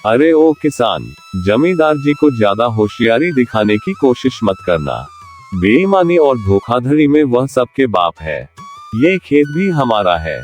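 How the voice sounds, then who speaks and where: An actor voicing a landlord's sly, mocking crony in a cartoon, who needles and blusters. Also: male voice, medium pitch, sing-song, measured pace, a little mellow, soft background music. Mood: blustering. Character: sly flattering male sidekick, landlord's crony.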